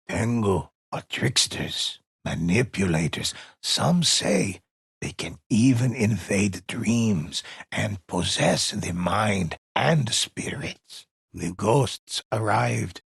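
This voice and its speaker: An actor playing a solemn old male storyteller in a video game, narrating in a raspy, measured, mysterious way with deliberate pauses. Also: low-pitched, no echo, studio quality, full character voice. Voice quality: raspy, husky, gravelly. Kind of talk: scripted dramatic storytelling narration.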